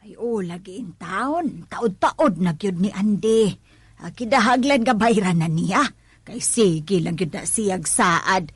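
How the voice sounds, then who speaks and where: A woman's voice: medium pitch, expressive, slow pace, husky, dry recording. An actress with a Cebuano Filipino accent playing an insistent neighbor woman who collects debts, in a radio drama. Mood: nagging, insistent. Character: insistent neighborhood creditor woman, nagging for repayment.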